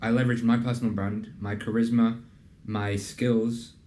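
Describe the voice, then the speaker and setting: A young man hosting a casual interview and pitching his program in an earnest, conversational way, with plenty of fillers. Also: flat delivery, normal speed, a little mellow. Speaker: host and program founder. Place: in a building in a city business district.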